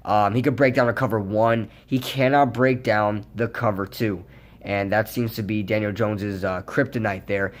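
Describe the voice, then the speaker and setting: A hoarse young man giving a casual, rambling post-game recap as a sports fan vlogger, with lots of fillers. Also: lowish voice, fairly even intonation, normal speed. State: hoarse from yelling.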